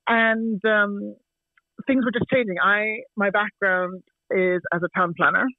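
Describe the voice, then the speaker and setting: A woman's voice: medium pitch, fairly even intonation, measured pace, dry recording. Canadian woman in her forties, chatty, laughs a lot, dry tinny phone-line sound. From Canada, Toronto. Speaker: guest. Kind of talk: casual chat over a call.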